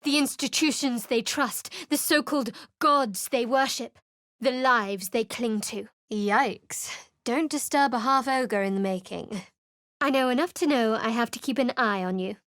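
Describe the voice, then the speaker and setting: A woman's voice: a little high, measured pace, a bit bright, no room sound, clean studio sound. A woman voicing a guarded, secretive young female cleric in a video game, speaking in a cool, wry, clipped way. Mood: sardonic. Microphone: dry close studio mic.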